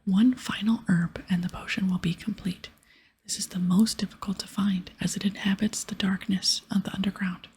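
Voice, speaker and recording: A woman whispering in a breathy ASMR style as she casually plays through a game, chatting softly and reading dialogue aloud. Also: medium pitch, steady tone, normal speed, poor audio. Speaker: host of an ASMR gaming series.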